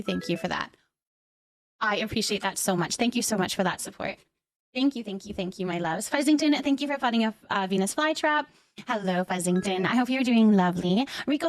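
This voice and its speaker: A woman livestreamer chatting casually with her viewers, effusive and affectionate, often repeating words and short phrases as she thanks them. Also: a little high. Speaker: live streamer hosting a chat.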